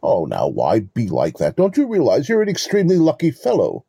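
Smug, pompous male swindler for an audio play, played by a man: very dark, husky tone, swooping intonation, wordy mock courtesy. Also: medium pitch, wildly swooping pitch, a little fast, no room sound, studio quality, exaggerated voice. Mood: condescending, mock-courteous. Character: smug, verbose male con artist posing as police lieutenant. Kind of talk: two-person audio play acting.

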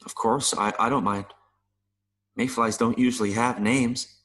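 A man acting as a gentle, philosophical mayfly in an audio play, speaking earnestly and tenderly with wistful wonder. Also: medium pitch, steady tone, lightly acted. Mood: gently philosophical. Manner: slow, earnest, tender delivery with buzzing.

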